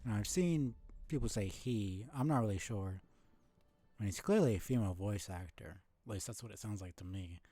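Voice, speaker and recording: A man's voice: lowish voice, expressive, brisk, mellow, soft background music. A man giving casual live commentary as he plays a game, with muttered, offhand reactions. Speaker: game streamer.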